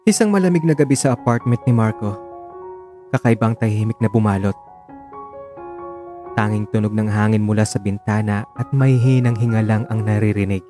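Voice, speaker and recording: A man with a Filipino accent narrating a scripted dramatic story in a hushed, solemn way. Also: fairly low pitch, moderate pace, pauses often, over music.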